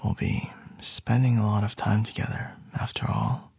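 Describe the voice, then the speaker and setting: A man doing an ASMR roleplay as a boss, speaking in a slow, teasing, intimate whisper close to the microphone. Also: fairly low pitch, very animated, creaky, a little mellow, breathy edge, no echo.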